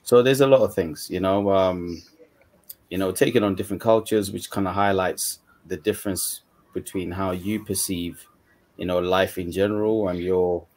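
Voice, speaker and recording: A man's voice: deep voice, steady tone, normal speed, darkish tone. A man from Uganda, a guest mentor, talking casually on a video call from a dim room, with frequent "you know" fillers. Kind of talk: casual panel discussion.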